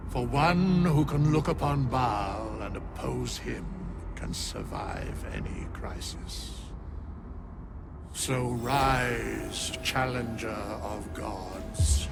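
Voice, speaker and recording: An actor playing an ancient, solemn undead scribe in a fantasy game, speaking archaic words in grave, formal pronouncements. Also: male voice, medium pitch, animated, extremely slow, creaky, over music, full character voice. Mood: solemn, commanding.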